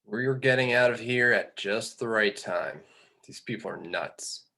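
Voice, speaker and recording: A man playing a disgruntled, sardonic traveller in an amateur script reading, with a husky voice. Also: lowish voice, a little slow, bright, forceful tone, heavily acted. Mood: irritated, dry.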